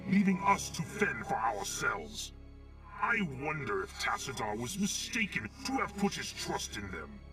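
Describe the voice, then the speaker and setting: A man voicing a loyal, dutiful alien officer in a video game, formal and grave in delivery. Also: medium pitch. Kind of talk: theatrical video game voice acting. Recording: distant voice under loud music.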